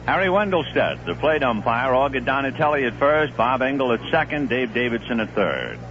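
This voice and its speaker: 1970s radio baseball announcer, lively pitch. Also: male voice, a little fast. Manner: relaxed storytelling delivery, rising sharply on big plays. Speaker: play-by-play announcer. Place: broadcast booth at a stadium. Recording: muffled 1970s radio broadcast with noise.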